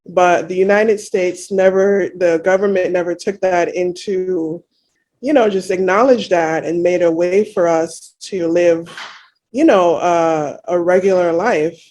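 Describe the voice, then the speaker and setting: A woman's voice: fairly low pitch, fairly even intonation, measured pace, darkish tone. A woman in her thirties from Jamaica, a guest in a casual interview, talking reflectively with many "you know" fillers.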